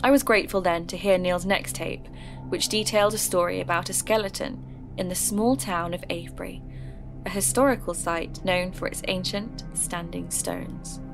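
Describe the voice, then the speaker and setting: Casting: a woman narrating in a measured, earnest way, with clear music under her voice. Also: lowish voice, animated. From England. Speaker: podcast narrator. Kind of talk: scripted narration.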